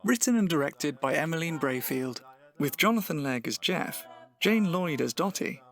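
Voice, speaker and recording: A man's voice: a little high, lively intonation, moderate pace, a bit bright. A man reading scripted closing credits as an announcer. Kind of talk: scripted narration. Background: music.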